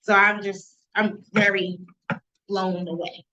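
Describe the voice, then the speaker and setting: A woman's voice: medium pitch, flat delivery, slow pace, pushed and bright, a little hiss or hum, low-quality recording. A woman hosting an interview show, introducing her guest and praising him with enthusiasm. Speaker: host.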